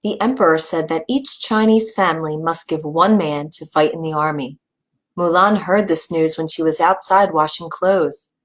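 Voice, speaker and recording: A woman's voice: low voice, moderate pace. Woman narrating a children's story.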